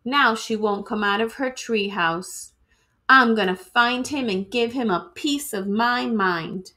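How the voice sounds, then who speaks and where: A woman reading a children's storybook aloud in an expressive, dramatic way, like a teacher to students. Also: on the high side, takes it slowly.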